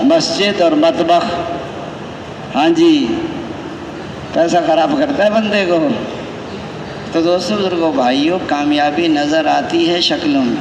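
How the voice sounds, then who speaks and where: A man preaches in a Pakistani accent with a fairly high voice. He exhorts in a conversational way, asking rhetorical questions and repeating key phrases. The recording rings with long hall reverb over clear background noise. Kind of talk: Tablighi sermon with anecdotes.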